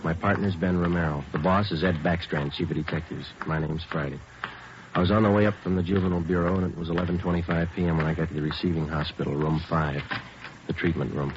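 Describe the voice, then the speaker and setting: An actor playing a terse, matter-of-fact police detective sergeant in a 1940s radio drama, speaking in a clipped, flat manner. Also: male voice, low-pitched, fast talker, lightly acted. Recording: hissy muffled old radio broadcast.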